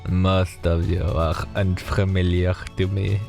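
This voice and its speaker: A man reading a visual novel aloud for a let's play, slow and dramatic, stopping to joke with a friend. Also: sits low, level tone, gravelly, warm tone, long reverb tail, faint music behind. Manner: reads slowly with pauses, breaks off to joke and laugh.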